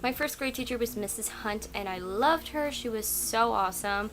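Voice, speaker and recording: A woman's voice: on the high side, normal speed, a bit bright. A young adult woman vlogging casually from home. She is a native Russian speaker who rambles through her answers with plenty of fillers. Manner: rambling, chatty, lots of "like" and "um". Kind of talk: casual chat answering tag questions. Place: at home at night.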